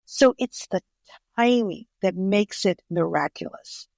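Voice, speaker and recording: A woman's voice: mid-range voice, unhurried, creaky, a little mellow, dry recording, studio quality. An older woman whose first language is Greek, telling stories earnestly in an interview as an author guest and often restarting her words. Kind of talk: conversational interview storytelling.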